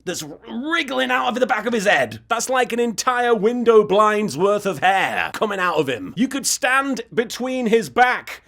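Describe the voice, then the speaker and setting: A man's voice: sits high, sing-song, unhurried, few pauses, a bit bright. A man hosting a comedic recap show, giving sarcastic commentary with exasperated rants. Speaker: host of anime recap show.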